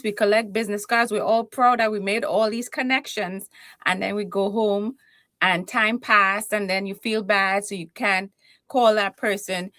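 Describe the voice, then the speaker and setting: A confident woman with a Trinidadian accent talks in a playful, self-assured way. Her voice sits at a middle pitch. The recording is close and dry, with no room sound. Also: a bit bright, dry recording. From Trinidad and Tobago. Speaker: guest, keynote speaker and confidence coach. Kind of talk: conversational interview.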